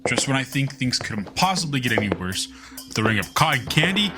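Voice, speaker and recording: A man's voice: mid-range voice, sing-song, brisk, close to an everyday voice. A man playing an exasperated, bitter, foul-mouthed spaceship crewman in a sci-fi radio drama. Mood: disgusted, despairing. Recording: music behind the voice.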